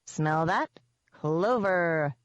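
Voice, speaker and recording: A woman's voice: on the low side, animated, extremely slow, dry recording, lo-fi sound. A woman voicing a tiny, grumpy, sarcastic male pixie sidekick in an audiobook, snappy and complaining. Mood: excited. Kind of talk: audiobook character voice.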